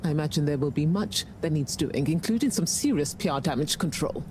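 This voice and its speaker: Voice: mid-range voice, expressive, a little fast, darkish tone, slight background noise, lightly acted. An actor playing an officious, formal colleague in a video game, speaking in a businesslike way. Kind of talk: video game cut-scene dialogue.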